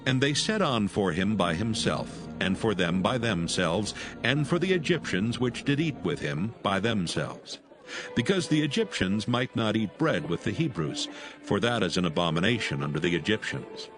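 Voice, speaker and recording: A man's voice: lowish voice, very animated, normal speed, music in the background. A man narrating solemn scripture as part of a dramatised audio Bible.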